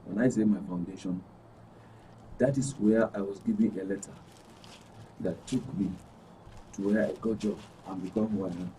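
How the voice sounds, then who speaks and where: A man in his fifties with a Northern Nigerian accent, telling a personal story haltingly and repeating phrases. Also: lowish voice, fairly even intonation, warm tone. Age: 50s. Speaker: panel guest. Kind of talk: personal storytelling in a studio discussion. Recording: distant mic with faint noise.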